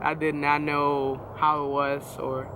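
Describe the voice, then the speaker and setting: A man's voice: fairly high pitch, monotone, slow, background noise. A nineteen-year-old man from Venezuela with a Venezuelan Spanish accent, a native Spanish speaker telling his faith story casually, with many fillers and restarts. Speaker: guest sharing testimony. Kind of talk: personal testimony, casual.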